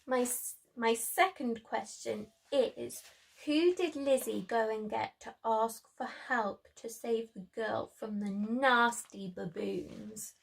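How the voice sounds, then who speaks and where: A woman speaking to young children as a preschool storyteller, with expressive emphasis and simple phrasing. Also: on the high side, slow, vocal fry, poor audio.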